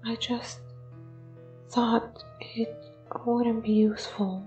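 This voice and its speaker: A woman voicing a shy, anxious, apologetic ghost in a fan audio drama, soft and breathy, halting and stammering. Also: a little high, level tone, reverberant, soft background music, rough recording. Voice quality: soft and breathy, often whispery.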